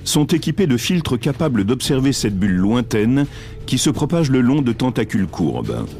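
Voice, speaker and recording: A man reading a scripted documentary narration in an expressive, explanatory way. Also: mid-range voice, moderate pace. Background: music.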